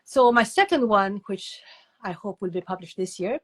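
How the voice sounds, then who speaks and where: An older woman giving conversational interview answers as a guest author, telling stories in an animated, digressive way with emphatic repetition. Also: mid-range voice, moderate pace.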